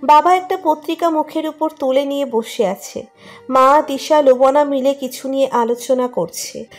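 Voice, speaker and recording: High-pitched woman narrating a story. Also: normal speed. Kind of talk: scripted romantic story narration. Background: music under the narration.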